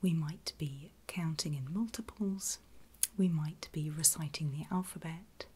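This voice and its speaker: A woman whispering in a breathy, gentle and reassuring way, unhurried, as a nurse in an ASMR medical roleplay. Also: low-pitched. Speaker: ASMR performer playing a surgical nurse.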